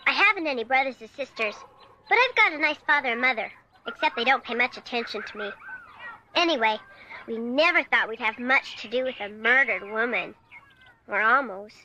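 An actress playing an earnest, excitable ten-year-old American girl telling her story in a radio drama. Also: a little high, a little fast, a little hiss or hum, low-quality recording. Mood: earnest storytelling. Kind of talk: dramatized first-person radio drama narration.

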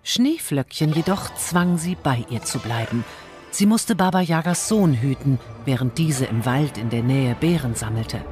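A woman's voice: extremely low pitch, lively intonation, measured pace, darkish tone, faint music behind. A woman narrating a fairy tale from a script as a storyteller.

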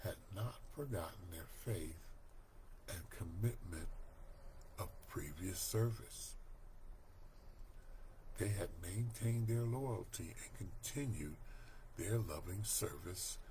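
An older man reading a Bible study lesson aloud from home, slow, deliberate and earnest, recorded on a webcam mic. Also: low voice, warm tone, slight background noise. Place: home room, indoors. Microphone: webcam at desk.

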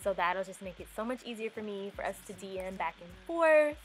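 Young woman, casual chatty vlog narrator, mid-pitched, faint background music. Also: expressive, brisk, rough recording. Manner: chatty, upbeat, with fillers like um and like. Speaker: home decor vlogger.

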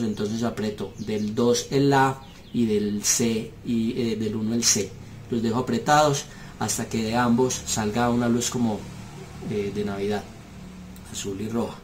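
A man gives an unscripted hands-on tutorial, explaining step by step in halting phrases with pauses. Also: medium pitch, a little mellow. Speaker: seller demonstrating referee intercom gear. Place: small indoor room at a table. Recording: clear background noise with device clicks.

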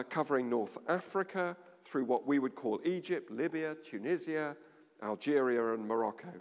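A middle-aged man giving a sermon at a lectern in a large stone church, measured, with dramatic pauses and a voice that drops low. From England. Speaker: vicar. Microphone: standing at a lectern, far camera. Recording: long church reverb.

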